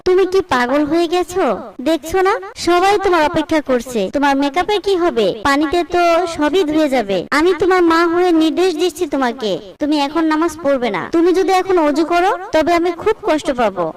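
A woman plays a fussing cartoon mother of middle age. She is insistent and high in pitch, recorded a little distant from the microphone. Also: expressive, a little fast. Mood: scolding.

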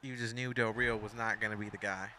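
A man's voice: on the low side, steady tone, a little fast, bright, forceful tone, slight background noise. Chatty young man commenting on wrestling. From Mexican. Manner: rambling, chatty, self-interrupting with asides. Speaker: fan commentator of a wrestling video game storyline. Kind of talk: casual play-by-play commentary.

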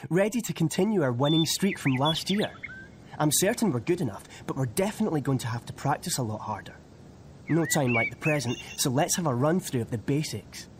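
An actor playing a keen, upbeat British teenage team captain in a video game, coaching heartily. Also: male voice, on the high side, animated, brisk, noisy background, close to an everyday voice. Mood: keen, instructive. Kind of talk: video game cutscene acting.

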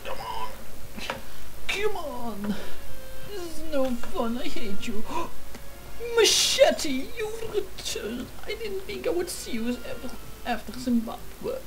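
A woman's voice: sits high, wildly swooping pitch, slow pace, music in the background, low-quality recording. A woman playing a video game, chatting in a goofy, mock-dramatic way with her tools as if they were old friends. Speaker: gaming YouTuber. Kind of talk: comedic let's play commentary.

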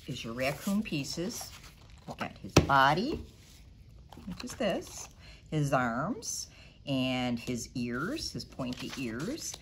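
Low-voiced woman leading a kids' craft. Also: a little hiss or hum. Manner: animated storybook reading with big sound effects. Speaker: children's librarian. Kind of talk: storytime reading and craft demo. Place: library storytime room, indoors.

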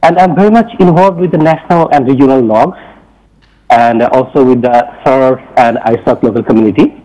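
Mellow Bangladeshi man, muffled audio. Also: mid-range voice, a little fast. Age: middle-aged. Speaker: technical community delegate, industry executive. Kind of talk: self-introduction at a meeting. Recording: dull, muffled remote audio.

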